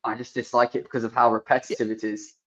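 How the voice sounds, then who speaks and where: A man's voice: mid-range voice, flat delivery, quick pace. Man calling in, slightly dull audio. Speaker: phone-in caller. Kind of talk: casual opinion.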